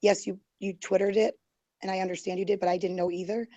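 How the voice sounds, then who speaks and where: A woman with a mellow, dark tone, her voice worn and fading. She rambles defensively, full of "like" and "um" and restarts. The sound is dry and close. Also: mid-range voice, level tone, quick pace, no echo. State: losing her voice. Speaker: guest. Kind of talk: heated online group call.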